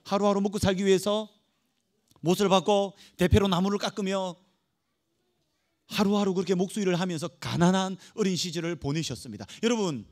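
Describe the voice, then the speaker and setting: High-pitched male pastor preaching emphatically. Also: a little fast, darkish tone. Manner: emphatic, with rhetorical questions to the congregation. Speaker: pastor preaching to young adults. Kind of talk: Protestant church sermon.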